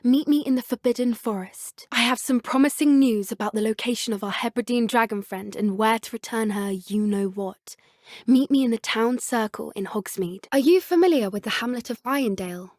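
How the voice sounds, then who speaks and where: Woman voicing an earnest, high-voiced British schoolgirl witch. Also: moderate pace, darkish tone, no echo, studio quality, lightly acted. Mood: purposeful. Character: earnest, caring teenage witch schoolgirl who loves creatures. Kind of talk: video game character dialogue.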